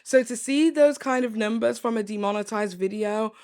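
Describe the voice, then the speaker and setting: A young woman, hoarse, earnestly delivering an opinion commentary vlog and repeating phrases for emphasis. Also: fairly high pitch, moderate pace, a little mellow. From United Kingdom. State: hoarse, voice going. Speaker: commentary YouTuber.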